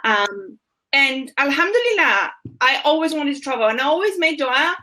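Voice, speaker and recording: A woman from Bulgaria talks as a guest in an easygoing chat, enthusiastic and full of fillers. Her voice is high and bright. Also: sing-song, normal speed, a little hiss or hum. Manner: enthusiastic, warm storytelling with many fillers. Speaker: travel blogger guest. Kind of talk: casual chat.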